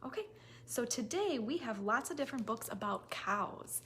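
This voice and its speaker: A woman with a fairly high voice leads story time for toddlers. She speaks in simple, expressive phrases, as a children's librarian reading aloud. The recording has noticeable noise. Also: normal speed. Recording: noisy recording with clicks.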